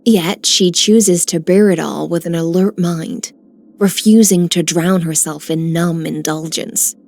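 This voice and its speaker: Composed game heroine: lowish, gravelly fry, sober and slightly sardonic. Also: female voice, fairly even intonation, unhurried, a little mellow. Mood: sober, reflective. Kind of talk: dramatic game voice acting.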